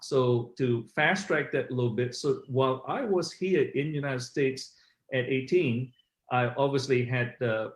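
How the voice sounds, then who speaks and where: A man's voice: medium pitch, moderate pace, reverberant. A middle-aged man from Malaysia, an author telling his story as an interview guest, rambling with many fillers. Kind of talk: conversational interview.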